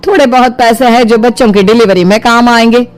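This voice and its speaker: A woman's voice: fairly high pitch, steady tone, fairly quick, soft background music, lightly acted. A woman voicing a cunning, motherly middle-aged mother-in-law in a cartoon, coaxing and persuasive. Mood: worried. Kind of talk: Hindi cartoon voice acting.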